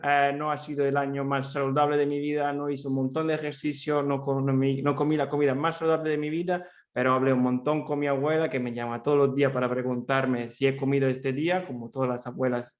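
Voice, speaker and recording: Italian man presenting in Spanish. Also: mid-range voice. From Italy, Rome. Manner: friendly and chatty, with many fillers and repeated restarts. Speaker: cyber threat intelligence analyst presenting at a summit. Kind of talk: conference presentation.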